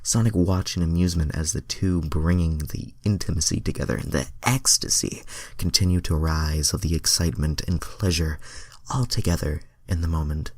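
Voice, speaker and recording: A man with a low voice reads a fan story aloud in an amateur style. He stumbles over lines and drops into casual, self-deprecating asides, and the sound is dry. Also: fairly even intonation, normal speed, darkish tone, no room sound. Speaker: reader of fan fiction.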